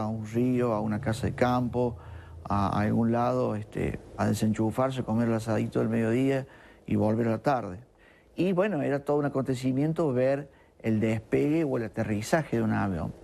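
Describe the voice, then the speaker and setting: A man in his sixties with a Córdoba Argentine accent, recalling his childhood in an interview with halting repetitions. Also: mid-range voice, creaky, a little mellow, a little hiss or hum. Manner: halting, repeating words as he recalls. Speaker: interviewee, engineer. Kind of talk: reflective interview. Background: music.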